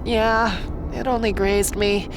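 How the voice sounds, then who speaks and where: A woman's voice: mid-range voice, level tone, slow pace, noisy background. A woman playing a brash, impatient young werewolf in a radio drama, with wry, flippant delivery. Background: dramatic music.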